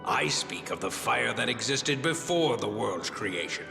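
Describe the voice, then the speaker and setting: An actor playing a gruff, loyal veteran blacksmith in a fantasy game, with a gravelly voice and solemn, formal delivery. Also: male voice, mid-range voice, animated, measured pace. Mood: solemn, resolute. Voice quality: gravelly, creaky. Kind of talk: fantasy video game voice acting. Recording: echoey hall reverb, music behind.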